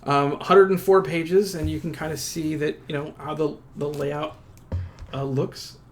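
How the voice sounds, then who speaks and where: A man with a creaky, gravelly voice giving a casual product walkthrough in a halting, self-interrupting way. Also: a little high, flat delivery, faint music behind. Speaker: unboxing presenter.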